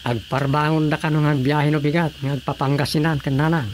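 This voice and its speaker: An everyday Ilocano husband voiced by a male actor in a radio drama. He speaks at a slightly high pitch, with clearly audible night crickets and noise around him. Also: little pitch movement, brisk, close to an everyday voice. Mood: conversational. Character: adult Filipino husband, everyday family man. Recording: noisy track with chirping night crickets.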